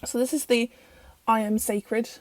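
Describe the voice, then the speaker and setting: Croaky-voiced Irish woman, chatty. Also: on the high side, steady tone, a little slow, dry recording. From Ireland. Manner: chatty and rambling, self-interrupting. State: croaky, recovering from illness. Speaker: tarot channel host. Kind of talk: casual deck review. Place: bedroom at home.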